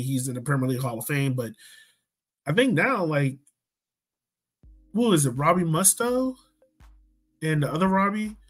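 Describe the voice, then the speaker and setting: An American man with a slightly high voice talks sports as a podcast co-host. His delivery is casual and conversational, as if working out each thought while he speaks. Faint music plays underneath. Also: fairly bright tone.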